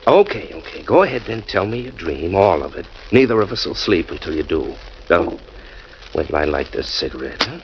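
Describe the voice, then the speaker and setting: An actor playing a teasing, exasperated young husband, quick to grumble, in 1940s radio acting style. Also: male voice, low voice, sing-song. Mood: wry. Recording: muffled old radio recording with hiss.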